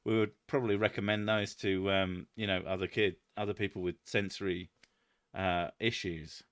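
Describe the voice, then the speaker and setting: A man hosting a casual chat, loud and booming, swearing freely as he answers viewer comments. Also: level tone, moderate pace, clear, resonant tone, dry recording, clean studio sound. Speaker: channel host.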